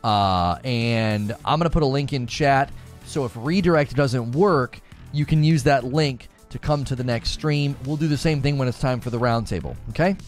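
A man's voice: medium pitch, normal speed, soft background music. A man hosting a casual livestream, chatting with his brother and telling rambling stories. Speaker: streamer and show host.

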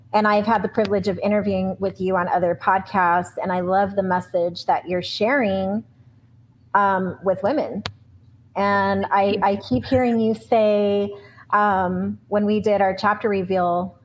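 A woman's voice: medium pitch, steady tone, a little slow, a little hiss or hum, poor audio. Effusive, rambling woman co-host. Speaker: podcast co-host. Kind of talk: podcast interview.

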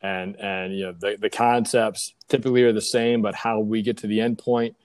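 A man's voice: fairly low pitch, little pitch movement, normal speed, a little mellow, no echo. A man hosting a podcast interview, conversational and enthusiastic, wordy and often doubling back on his phrases.

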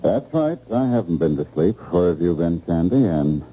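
A muffled, faintly hissy old radio drama recording of a jealous, sleepless stockbroker. His low voice swings widely as he frets and insists, saying things over and over. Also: sing-song, normal speed, warm tone. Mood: tense, suspicious. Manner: agitated, repeats words insistently. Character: well-to-do, anxious, jealous male stockbroker, sleepless and lovesick.

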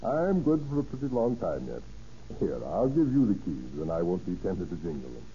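Nervous gentleman landlord, very dark mellow voice, hesitant, old radio drama, muffled noisy recording. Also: low-pitched, big pitch swings, moderate pace. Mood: nervous, apologetic. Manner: hesitant, apologetic. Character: nervous, polite, fidgety male landlord. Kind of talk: 1940s old-time radio drama acting.

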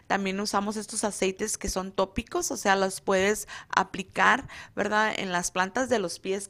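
A woman with a mid-range voice explains her school's training in a relaxed radio chat. She hesitates often and fills pauses while hunting for words. Her first language is Spanish. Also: medium pitch. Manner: hesitant, many fillers, searches for words. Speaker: beauty school instructor. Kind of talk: casual radio interview.

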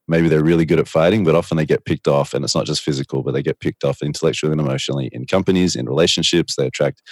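Very deep-voiced, soothing middle-aged man. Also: no room sound. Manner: thoughtful, rambling, with dramatic bursts. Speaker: guest. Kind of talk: reflective conversational answers.

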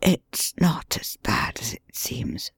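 Man reading as an injured detective, hoarse whisper, weak muttering, low and hushed, dry. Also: lively intonation, slow pace, no room sound, exaggerated voice. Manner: weak muttering, urgent instructions. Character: injured bandaged male detective, weak but scheming. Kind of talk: audiobook reading.

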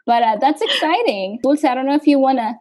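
A friendly young woman runs the interview with a warm, slightly high voice, chatting and laughing easily with her guest. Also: animated, a little fast. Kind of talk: casual interview.

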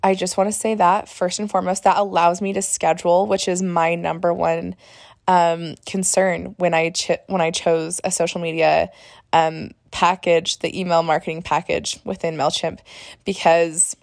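Young woman solo podcaster, a bit hoarse, lower register, casual and upbeat, dry booth sound. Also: flat delivery, normal speed, no echo. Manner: chatty and upbeat, with fillers and self-corrections. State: hoarse, recovering from laryngitis. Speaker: podcast host, marketer.

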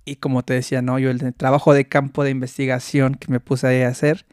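A man's voice: medium pitch, little pitch movement, fast talker, a little mellow, clear, resonant tone, dry recording, studio quality. Chatty Mexican man hosting a podcast. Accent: Mexican Spanish. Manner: chatty, laughs often, many restarts. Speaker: podcast host. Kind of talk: casual interview chat.

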